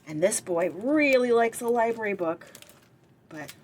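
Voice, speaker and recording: A woman's voice: fairly high pitch, lively intonation, unhurried, a little mellow, slight background noise. A woman reading a picture book aloud for story time, expressively, with chatty asides to young listeners. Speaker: library director and former children's librarian reading aloud.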